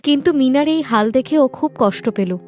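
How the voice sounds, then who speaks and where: High-voiced woman narrating a story. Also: animated, normal speed, a little mellow, faint music behind. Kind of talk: scripted cartoon story narration.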